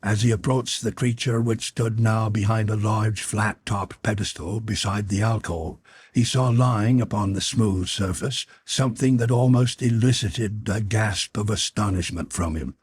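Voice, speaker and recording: A man reading a novel as an audiobook narrator, in measured, formal storytelling style. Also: lowish voice, a little mellow, breathy edge, no echo, clean studio sound. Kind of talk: scripted literary narration.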